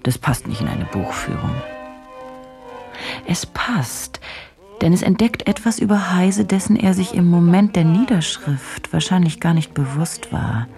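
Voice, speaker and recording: An actress playing an intense, probing female journalist in a radio drama, speaking in deliberate, clipped, analytical phrases. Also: lowish voice, animated, a little mellow, faint music behind. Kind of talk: German radio drama acting.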